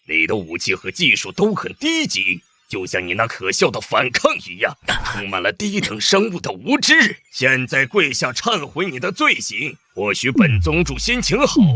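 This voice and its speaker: A man voicing an arrogant, godlike alien overlord in an animated comic drama, pompous, contemptuous and menacing. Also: mid-range voice, full character voice.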